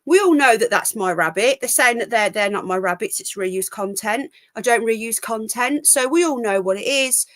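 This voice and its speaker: Woman, stuffy with a cold, higher voice, rambles frankly to her live stream from home on a laptop mic. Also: normal speed, hardly any pauses, a bit bright. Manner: chatty, rambling, frank, swears. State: full of cold. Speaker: livestream call-in show host. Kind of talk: casual live stream chat.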